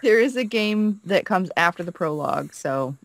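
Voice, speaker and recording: A woman's voice: lowish voice, expressive, normal speed, gravelly, no echo. A woman co-playing a game, reading dialogue aloud in a casual way and chatting between lines. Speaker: co-player reading a character's lines.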